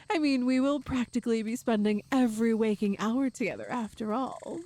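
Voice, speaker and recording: A woman playing a tall, strong, cheerful and doting Amazonian woman in an ASMR roleplay, speaking gently in slightly formal phrases. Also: on the high side, expressive, moderate pace, natural voice. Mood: eager, teasing. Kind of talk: ASMR roleplay audio drama.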